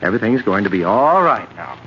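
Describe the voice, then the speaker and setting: An actor playing a placating, falsely apologetic nephew with a soothing manner in a 1940s radio drama. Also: male voice, on the low side, big pitch swings, fairly quick. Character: smooth-talking, placating, scheming nephew. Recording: muffled old radio recording, hiss.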